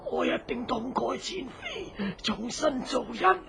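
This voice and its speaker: Noisy radio horror recording, desperate pleading murderer, slow broken stammer. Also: male voice, extremely high pitch, big pitch swings, creaky, a bit bright, exaggerated voice. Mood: anguished, repentant. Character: terrified cruel killer begging for mercy. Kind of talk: Cantonese radio horror drama acting. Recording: noisy, muffled old radio drama recording.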